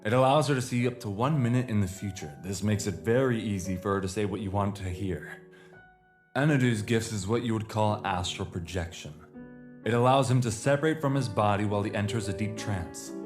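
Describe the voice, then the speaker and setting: A man playing a courteous, formal leader of a secret organization in an audio drama, speaking in a measured, polite way. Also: fairly low pitch, faint music behind, close to an everyday voice. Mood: calm, explanatory.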